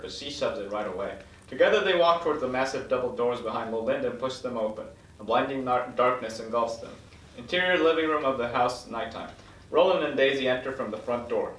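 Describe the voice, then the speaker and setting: A man's voice: mid-range voice, fairly bright tone. A man reading screenplay stage directions as scripted narration at a table read. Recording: echoey room from far off, noisy.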